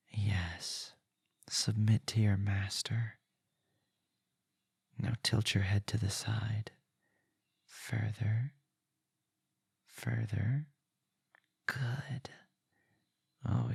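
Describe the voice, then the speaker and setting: A man's voice: lowish voice, creaky, a little mellow, slightly rough, no echo, studio quality, heavily acted. A man playing a sinister, arrogant vampire lord in an ASMR roleplay, possessive and commanding. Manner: commanding, drawn-out, menacing.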